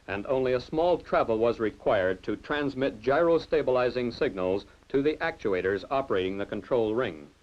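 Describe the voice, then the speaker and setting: Man narrating a lo-fi technical film. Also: mid-range voice. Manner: measured, formal technical delivery. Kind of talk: scripted documentary narration. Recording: dull lo-fi film soundtrack.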